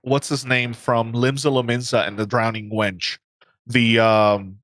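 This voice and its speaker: A man's voice: medium pitch, flat delivery, a little slow, fairly bright tone, no room sound. Man with a dry sound. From Puerto Rico. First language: Spanish. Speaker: guest gamer. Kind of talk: casual chat on a stream.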